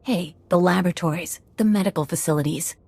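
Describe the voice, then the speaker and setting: A streetwise female inmate with a slightly low voice. She speaks plainly and pointedly, a schemer in a video game prison gang. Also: fairly quick, vocal fry, a little mellow. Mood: eager, scheming.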